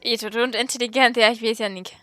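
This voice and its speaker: Girl doing casual let's play reactions, high voice, teasing, really dry close sound. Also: fairly even intonation, fast, pushed and bright, dry recording. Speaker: gaming video host.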